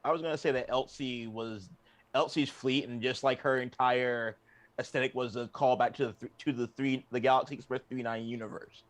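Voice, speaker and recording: A man's voice: medium pitch, fairly even intonation, a little fast. Man co-hosting a casual chat. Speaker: co-host.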